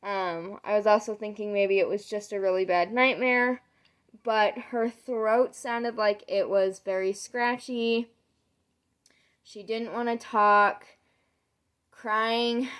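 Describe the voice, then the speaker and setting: Hoarse young woman, sore throat. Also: medium pitch. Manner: rambling, many "um"s, retells conversations. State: losing her voice, sore throat. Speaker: vlogger. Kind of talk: casual catch-up storytelling to camera.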